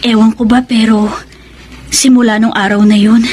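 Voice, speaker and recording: A woman's voice: a little high, level tone, moderate pace, a little mellow, slightly rough. A woman playing a sweet, coy middle-aged woman newly in love in a radio drama, with a Filipino accent. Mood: tender, content. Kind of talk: Tagalog romantic radio drama acting. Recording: faintly noisy radio drama track.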